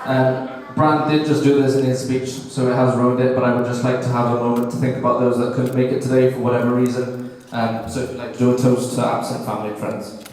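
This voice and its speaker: A man's voice: mid-range voice, level tone, quick pace, hardly any pauses, a little mellow, faint music behind. A man in his thirties with a Welsh accent, giving a best man's speech at a wedding in a joking, self-deprecating way with many ums and uhs. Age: 30s. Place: large reception room at a wedding. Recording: echoey hall, voice from far off. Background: guests murmuring and laughing.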